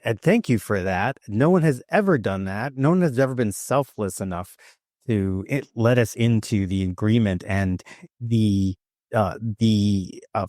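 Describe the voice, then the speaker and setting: A man's voice: on the low side, a little mellow, dry recording, studio quality. A man hosting his own podcast, talking in a hype-driven, effusive way as he introduces guests. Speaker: podcast host.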